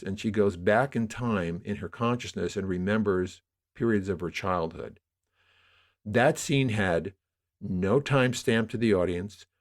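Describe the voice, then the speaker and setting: An older man in his sixties with a fairly low voice. He speaks as a podcast guest, explaining his work conversationally, halting and restarting with emphasis as he works through each thought. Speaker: podcast guest, audio drama producer. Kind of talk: conversational interview storytelling.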